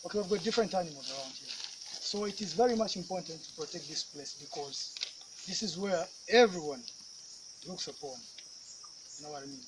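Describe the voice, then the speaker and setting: A man's voice: on the high side, brisk. A man with a Zambian accent in a casual interview, hesitant and conversational, repeating himself. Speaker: concerned citizen interviewee. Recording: noisy outdoor ambience with insects.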